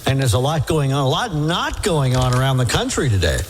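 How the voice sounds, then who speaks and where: A man's voice: mid-range voice, sing-song, brisk, music in the background. A man hosting a live radio oldies show with jokey, ad-libbed patter from the studio.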